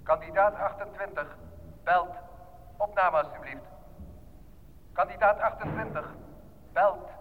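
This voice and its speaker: Male announcer, radio play: formal summons, very high pitch, big reverberant hall, thin sound. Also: a little slow, long pauses. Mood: impersonal. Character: formal studio caller summoning candidates over a speaker. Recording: long reverberant hall, narrow noisy sound.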